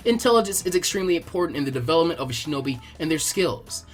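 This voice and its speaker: A man's voice: on the high side, a bit bright. A man reading fan fiction aloud as a scripted narrator.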